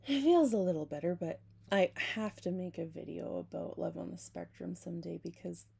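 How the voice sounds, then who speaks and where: A young woman with a hoarse, heavily gravelly voice, talking to her webcam at home. Her tone is dark and husky, and she rambles with plenty of "like" and restarts. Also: fairly low pitch, a little fast. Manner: rambling, self-interrupting, frequent "like". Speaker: vlogger. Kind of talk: casual talk to camera. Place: small home room, indoors.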